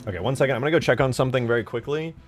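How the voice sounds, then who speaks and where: A man's voice: medium pitch, racing. A man streaming his game modding work, talking informally and thinking aloud. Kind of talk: casual livestream chat.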